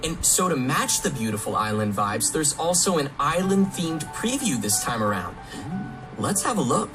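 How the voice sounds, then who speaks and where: A man presents as a program host in an upbeat, scripted style. His intonation is very animated, and music is clearly heard underneath. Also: a little high, normal speed. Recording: music under a played stream.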